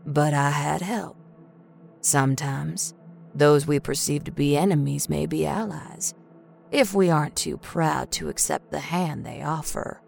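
Woman playing a wise tribe storyteller lady, lowish, patient and earnest, faint music. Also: measured pace, vocal fry, a little mellow, exaggerated voice.

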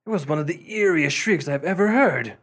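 A man playing an earnest, imaginative gentleman drawn to the eerie in a radio mystery, speaking in formal, dramatic phrases. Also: on the high side, lively intonation, brisk, a little hiss or hum. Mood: alarmed. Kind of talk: radio mystery acting, period dialogue.

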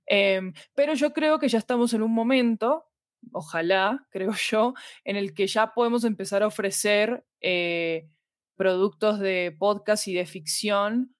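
Woman, Latin American Spanish accent, mid-pitched, thoughtful podcast guest, conversational, very dry recording. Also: unhurried, no room sound. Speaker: podcast guest, fiction podcast producer. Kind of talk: conversational interview about audio fiction.

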